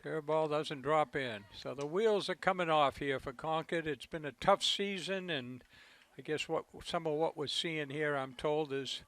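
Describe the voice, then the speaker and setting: Man, local baseball play-by-play, fairly high pitch, voice going hoarse, low-key. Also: moderate pace, hardly any pauses, a bit bright. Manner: low-key, conversational calls. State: losing his voice. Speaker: play-by-play commentator.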